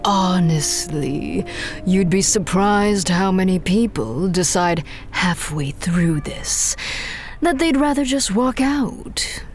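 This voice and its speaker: An actress playing a businesslike, wry recruiter for supervillain henchmen in an audio drama, talking in a dry, reassuring way. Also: female voice, fairly low pitch, expressive, takes it slowly, a little mellow, faint music behind. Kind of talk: audio drama acting, conversational job interview.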